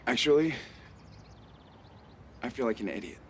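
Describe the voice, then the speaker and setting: An actor playing a remorseful young husband in a television drama. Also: male voice, medium pitch, animated, moderate pace, creaky, slight background noise. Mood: bitter, self-blaming. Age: young adult. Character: remorseful young husband whose marriage has collapsed.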